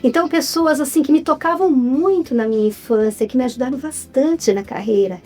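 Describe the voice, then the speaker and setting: A woman's voice: sits high, brisk, a little mellow, faint music behind. A Brazilian-accented woman, a guest in a casual interview, telling her story in an upbeat way. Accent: Brazilian Portuguese. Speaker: guest, writer and film producer.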